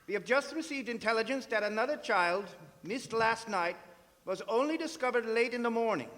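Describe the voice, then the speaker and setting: An actor playing a grave, urgent professor who hunts vampires, speaking emphatically and portentously in radio drama style. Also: male voice, very high-pitched, lively intonation, moderate pace, fairly bright tone. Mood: portentous, insistent. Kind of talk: theatrical radio drama acting. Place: performing arts center stage. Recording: echoey theatre hall with music.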